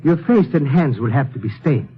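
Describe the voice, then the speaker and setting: An actor playing a courteous, watchful Middle Eastern guide in a radio drama, with formal, precise phrasing. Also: male voice, medium pitch, expressive, fairly quick, slightly rough. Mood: polite, calm. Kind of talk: old-time radio drama acting. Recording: tinny, band-limited old radio recording.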